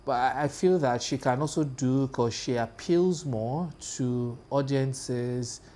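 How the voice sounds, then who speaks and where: A man's voice: mid-range voice, takes it slowly, mellow. Hesitant Nigerian man in his thirties. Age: 30s. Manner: hesitant, many fillers and restarts. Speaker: co-host. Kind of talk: casual panel chat. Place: television studio.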